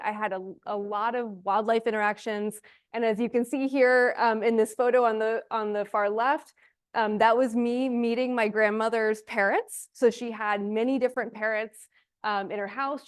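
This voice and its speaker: Young female conference presenter, smooth clean voice, informal and explanatory. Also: medium pitch. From Honduras and Texas. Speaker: speaker, ecological restoration student and forest project lead.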